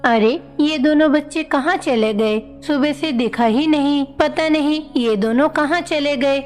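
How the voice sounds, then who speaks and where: A woman voicing a gentle mother goddess who frets over her children in a devotional cartoon. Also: high voice, a little slow. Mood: worried. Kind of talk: animated devotional story dialogue. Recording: long reverb, music underneath.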